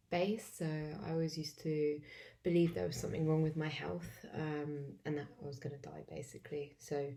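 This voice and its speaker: Low gravelly woman, wheezy and coughing. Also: warm tone. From England. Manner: halting, with many fillers. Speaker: vlogger demonstrating tapping. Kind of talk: casual self-help walkthrough. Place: home, alone.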